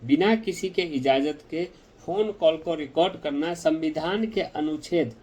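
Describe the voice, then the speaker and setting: Brief: male legal explainer, raised voice, slow measured delivery, speaks straight to viewers. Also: on the high side, a little mellow. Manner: addresses viewers directly, deliberate and explanatory.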